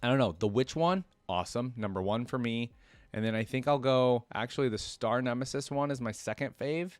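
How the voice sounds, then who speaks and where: A man's voice: mid-range voice, a little fast, no room sound, soft background music. A man hosting a reaction video, commenting casually and informally, thinking aloud as he browses and reads.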